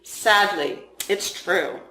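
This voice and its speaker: A woman's voice: mid-range voice, drawn-out words, sharp tone. A woman voicing an irritated, earnest younger male FBI agent in a story reading. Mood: resigned, exasperated. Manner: grumbling, put-upon. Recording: roomy, echoing room sound.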